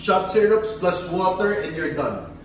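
A man's voice: high-pitched, big pitch swings, unhurried. Filipino male host, code-switching product presenter; very roomy, distant sound. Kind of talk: casual product demo presenting, mixing English and Tagalog. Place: small tiled demo kitchen, indoors. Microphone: distant camera microphone. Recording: echoey room, distant mic, background noise.

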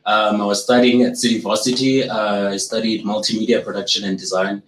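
Young man from south africa, low flat voice, hesitant, echoey meeting room. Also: brisk. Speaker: graphic design intern.

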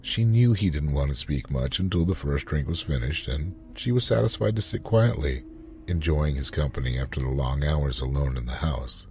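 A man narrating a scripted story in a measured, dramatic manner. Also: very deep voice, a little mellow.

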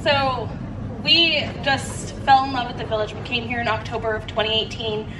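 Earnest woman speaking on a loudspeaker. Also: fairly high pitch, fairly even intonation, moderate pace. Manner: earnest, emotional, tells her story in short phrases. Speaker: guest parent sharing her story. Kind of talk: heartfelt speech to a crowd. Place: outdoor night event stage. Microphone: handheld mic through speakers, filmed from audience. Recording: echoey PA sound from far off, noisy.

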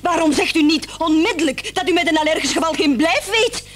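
An actress playing a determined, protective wife in a radio play, insistent and sharply reproachful. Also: female voice, very high-pitched, lively intonation, a little fast. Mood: indignant, accusing. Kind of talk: Dutch-language radio play acting.

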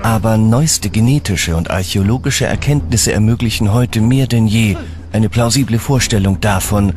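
A man's voice: lowish voice, moderate pace, darkish tone, a little hiss or hum. A man reading scripted, dramatic narration for a documentary.

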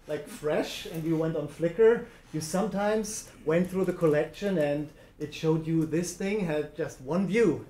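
A man with a German accent gives a conference presentation in a lecture room. His voice sits a little high, and the echoey room adds reverb. He talks in a chatty way, full of fillers and little laughs. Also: warm tone. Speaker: conference speaker. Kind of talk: presentation talk.

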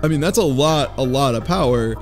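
A man's voice: medium pitch, a little fast, soft background music. A man in a casual group chat, recapping a story in a rambling way and acting out the dialogue as he goes. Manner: rambling, animated retelling with acted-out quotes. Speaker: podcast panelist.

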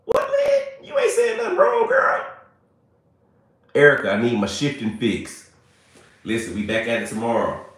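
Hoarse, lively man on a phone livestream. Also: medium pitch, normal speed, long pauses. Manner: animated, playful, chatty. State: a little hoarse and congested. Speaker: livestream host. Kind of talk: casual livestream chat with viewers. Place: small bright room indoors. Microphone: phone at arm's length. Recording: very echoey room.

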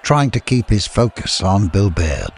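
A man reading a scripted story as an audiobook narrator, with a husky voice. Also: fairly low pitch, very animated, unhurried, a faint crowd.

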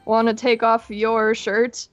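A woman's voice: a little high, monotone, slow, no echo, highs cut off, faint music behind. A woman voicing an exasperated young woman reading fan fiction aloud with dry, put-upon delivery in a Minecraft roleplay. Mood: reluctant, reading aloud.

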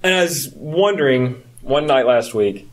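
A man in his early thirties chatting casually as a podcast co-host, telling stories in an animated way. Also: mid-range voice, measured pace. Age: early 30s. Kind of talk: casual chat.

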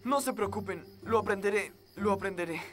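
A man's voice: high-pitched, a little fast, lightly acted. A man voicing a shy, awkward young boy prince in a children's cartoon dub, speaking hesitantly. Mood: determined, tired.

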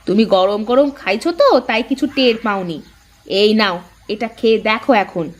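A woman's voice: mid-range voice, measured pace, lightly acted. A woman playing an outspoken village housewife in a cartoon, exclamatory and nagging in rustic everyday speech. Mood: disgusted, scolding. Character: outspoken village housewife, scolding yet easily amazed. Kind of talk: cartoon moral-story voice acting.

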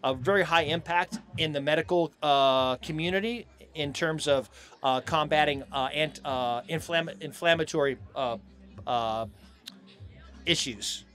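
A middle-aged American man being interviewed casually outdoors on a handheld mic, speaking in halting, stop-and-go phrases. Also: a little high, fairly bright tone, soft background music. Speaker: hemp farm owner being interviewed. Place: outdoors on a festival lawn. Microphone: handheld microphone.

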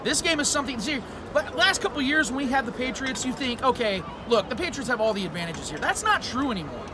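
A man giving opinionated postgame fan analysis outdoors in a stadium at night, emphatic and forceful. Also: very high-pitched, very animated, fast talker, music in the background. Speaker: guest podcaster. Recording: loud outdoor stadium noise.

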